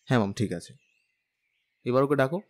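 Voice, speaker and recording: A man's voice: medium pitch, expressive, moderate pace, natural voice. A man playing a lovestruck, cheeky young husband in an audio drama, stubborn and playful, with a pleading streak. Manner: pleading, playful, whiny with elders. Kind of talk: Bengali audio drama acting.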